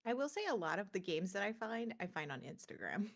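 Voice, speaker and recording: A woman's voice: mid-range voice, very animated, brisk. A woman chatting casually as co-host of a video game podcast. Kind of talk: casual chat.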